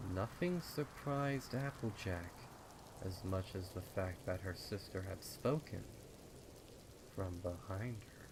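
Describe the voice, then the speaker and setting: A man with a lowish voice narrates a dark horror tale. His delivery is slow and suspenseful, with deliberate phrasing, over clearly audible rain. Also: background noise. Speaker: fan fiction narrator. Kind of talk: dramatic horror story narration.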